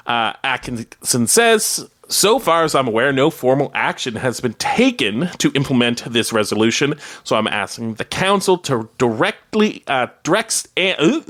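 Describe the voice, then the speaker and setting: A man's voice: mid-range voice, a bit bright. A man co-hosting a community radio show, reading local news in an informal, playful way with conversational asides. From Canada.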